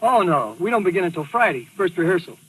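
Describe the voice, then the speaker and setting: An actor playing a breezy, idle theater friend in a 1940s radio mystery. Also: male voice, high-pitched, very animated, fast talker, a bit bright, far from the mic. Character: breezy, idle theater pal at the billiard table. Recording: noisy old radio, off-mic.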